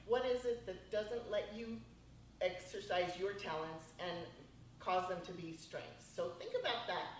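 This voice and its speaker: A woman's voice: medium pitch, a little slow. A woman moderating a panel with upbeat enthusiasm, turning to talk straight to the listeners. Her voice comes from a distance in a very echoey room. A faint hiss sits underneath. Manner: enthusiastic, addresses listeners as folks. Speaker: panel host.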